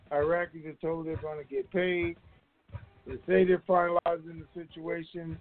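A man hosting a conference call, talking informally and in a rambling, conversational way to his listeners. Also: a little high. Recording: dull, lo-fi conference call line.

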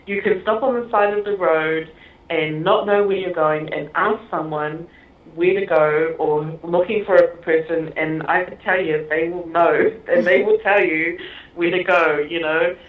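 A woman with a fairly low voice talks about her film and her home in a relaxed, halting way, often breaking into laughter. She comes through a tinny, phone-like line with a hollow, roomy echo. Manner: chatty and halting, many fillers and laughs. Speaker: film director being interviewed. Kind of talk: casual interview conversation.

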